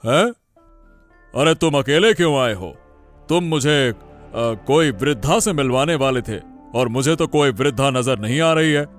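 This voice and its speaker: A man's voice: mid-range voice, lively intonation, a little slow, faint music behind, close to an everyday voice. A man voicing a dutiful, self-important king in a cartoon story, with a regal, commanding manner. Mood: questioning. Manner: regal, commanding, repeats himself. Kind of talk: Hindi cartoon story voice acting.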